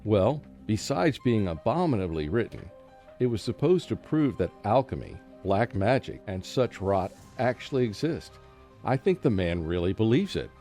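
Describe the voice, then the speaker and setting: A man's voice: fairly low pitch, very animated, moderate pace, mellow. A man playing a skeptical, scholarly British scientist in a radio drama, rational but troubled. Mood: dismissive, puzzled. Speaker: actor and first-person narrator. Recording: faint music behind a student radio play.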